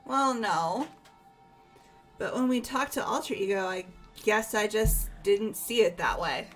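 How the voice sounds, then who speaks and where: A woman's voice: mid-range voice, expressive, a little slow, fairly bright tone, soft background music, rough recording. A woman voicing a kind-hearted, friendly teenage girl in a casual, sincere manner, as amateur game voice acting. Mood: sympathetic.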